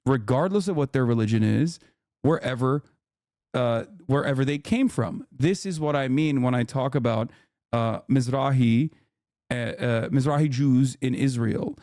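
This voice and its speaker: A man from Turkey, a native Turkish speaker, hosting a live debate and explaining at length in a patient, deliberate way. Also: mid-range voice, darkish tone, no echo, studio quality.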